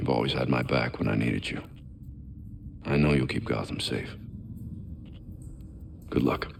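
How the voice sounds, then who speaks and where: An actor playing a gruff, solemn masked vigilante mentor in a video game, speaking gravely with a gravelly voice. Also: male voice, extremely low pitch, exaggerated voice. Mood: solemn, resolute. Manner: grave, measured. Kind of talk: video game cinematic acting. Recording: clearly noisy background.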